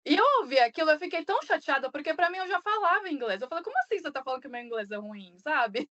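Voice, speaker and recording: A woman's voice: sits high, animated, very fast, a bit bright, lo-fi sound. A woman with a Brazilian Portuguese accent telling personal stories casually, animatedly acting out what people said. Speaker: podcast guest living abroad. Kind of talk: casual conversational storytelling.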